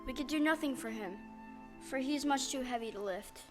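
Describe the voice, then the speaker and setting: A woman playing a kind, gentle tin woodman in a stage audio play, earnest and courteous in delivery. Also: high voice, brisk, close to an everyday voice. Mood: sorrowful. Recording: live stage recording with music.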